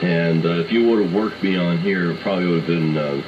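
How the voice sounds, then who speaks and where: A man's voice: deep voice, fairly quick. A middle-aged or older American man chatting casually and hesitantly as an amateur radio operator, heard through an AM radio transmission. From United States. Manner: rambling, hesitant, reminiscing. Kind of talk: casual ham radio chat. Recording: muffled, noisy AM shortwave radio signal. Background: radio static noise.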